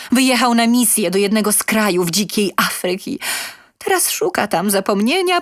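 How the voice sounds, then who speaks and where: A woman's voice: mid-range voice, no room sound, clean studio sound. A woman reading a scripted first-person story in a theatrical, emotive storytelling manner. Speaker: reader of a short story.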